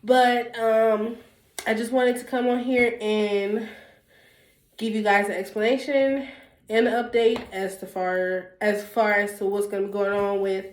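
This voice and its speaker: A woman's voice: a little high, slight background noise. A young woman with a stuffy nose from a cold, talking candidly to her viewers in a rambling, casual way with lots of ums and restarts. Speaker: YouTuber. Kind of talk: casual sit-down talk to camera. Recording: echoey room.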